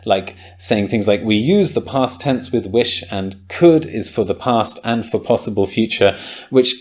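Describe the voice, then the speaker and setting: A man with a slightly low voice talks through grammar for a podcast. He thinks aloud, with frequent ums and likes, and sounds keen when an idea clicks. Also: animated, measured pace, darkish tone. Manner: thoughtful, enthusiastic, many ums and likes. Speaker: podcast host and English teacher. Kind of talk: casual explanatory podcast talk.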